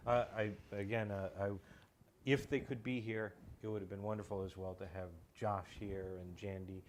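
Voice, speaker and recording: A man with a fairly low voice talks as a guest in a relaxed interview. He feels his way through sentences haltingly, doubling back on words as he goes. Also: a little hiss or hum. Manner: hesitant, halting, repeats words mid-sentence. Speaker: guest, writer and creator of a comedy audio show. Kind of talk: casual interview chat.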